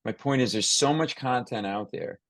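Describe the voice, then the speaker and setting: A man's voice: mid-range voice, normal speed, a bit bright, dry recording. An American man hosting a conversational podcast interview, talking emphatically and often restarting and repeating his phrases.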